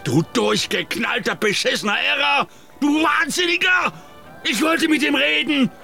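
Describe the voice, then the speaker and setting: A man's voice: very high-pitched, animated, a little slow, creaky, bright, forceful tone, soft background music, heavily acted. An actor playing a volatile, profane male crime boss in a radio drama. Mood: outraged. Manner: coarse, profane, explosive.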